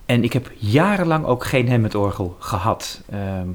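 A man's voice: mid-range voice, animated, normal speed. A man with a Netherlands Dutch accent talking casually as an interviewed musician, often repeating and rephrasing. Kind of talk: casual anecdotal interview talk.

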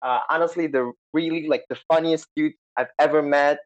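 A man hosting a podcast over a remote call, excited and chatty, with many fillers and restarts. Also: a little high, a little fast. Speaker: podcast host. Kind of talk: casual chat. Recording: slightly dull lo-fi sound.

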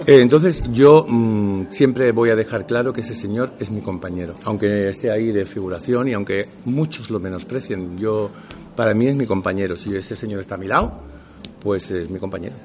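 A man's voice: on the low side, moderate pace, faint music behind. A middle-aged man from Seville, an actor, talking casually in an interview and acting out the dialogue in his stories. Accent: neutral Castilian Spanish for work, Andalusian at home. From Seville, Spain. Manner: animated storyteller, acts out quoted dialogue.

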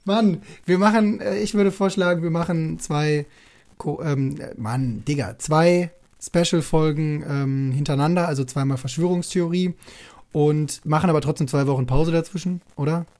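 Slightly high young man, wry podcast banter. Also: normal speed, a little mellow. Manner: wry, teasing, slangy. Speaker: podcast co-host. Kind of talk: casual unscripted podcast banter.

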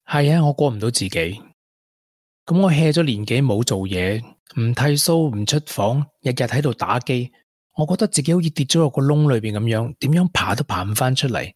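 An actor plays a regretful man with a Hong Kong accent, earnestly telling his story in a radio drama. His voice sits at a medium pitch, recorded very dry and close. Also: lively intonation, brisk, no echo, natural voice. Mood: bitter regret. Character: regretful young ex-tech prodigy, confessing to a woman. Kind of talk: Cantonese radio drama acting.

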